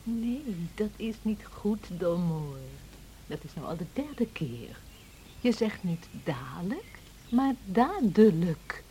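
A woman's voice: medium pitch, very animated, warm tone. An actress playing a spirited, proud yet tender young lady in a radio play, speaking emphatically, on a hissy old recording. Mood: playful scolding. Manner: emotional, emphatic, halting.

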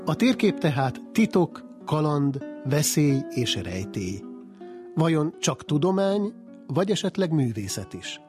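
A man reading scripted radio documentary narration in a husky voice. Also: a little high, very animated. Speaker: programme narrator. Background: soft guitar music.

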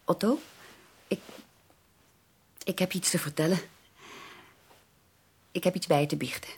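A woman's voice: on the low side, measured pace, a little mellow, husky, full character voice. An actress in a Dutch radio drama playing a spirited, playfully reproachful young woman. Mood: teasing, confiding. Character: spirited young woman, daughter teasing and confessing.

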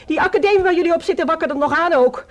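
Indignant, high-voiced, fast-talking mother. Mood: indignant, reproachful. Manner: complaining, reproachful, prim. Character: indignant, self-pitying middle-aged mother of a student. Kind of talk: Dutch radio play acting.

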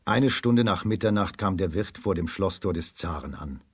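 Husky male storyteller, close and dry. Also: sits low, lively intonation, normal speed, no room sound. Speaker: narrator. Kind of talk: scripted storytelling for children's radio play.

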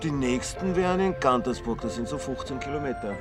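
A man's voice: medium pitch, expressive, a little fast, lightly acted. Guy acting friendly austrian village teacher in a radio play, eager and chatty, bit of music behind. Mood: friendly, obliging. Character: eager, chatty village schoolteacher and hobby reporter. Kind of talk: naturalistic radio drama acting.

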